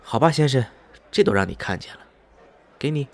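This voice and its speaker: Courteous male newcomer for radio drama, played by an actor; mid-pitched, lively intonation, inquisitive, light music behind. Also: fairly quick, close to an everyday voice. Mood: resigned, polite. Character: polite, curious male traveler, newcomer in town. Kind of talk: Mandarin radio drama dialogue. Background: background music.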